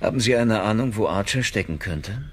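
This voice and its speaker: Lively, low-voiced investigator. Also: male voice, brisk, slightly rough. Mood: probing. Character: probing, wry male FBI investigator. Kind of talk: German audio drama dialogue.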